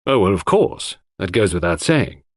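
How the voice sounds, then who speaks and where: A man voicing a puzzled, sceptical English country gentleman with a frank, hearty, questioning manner. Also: low-pitched, big pitch swings, normal speed, no room sound, studio quality. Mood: conceding.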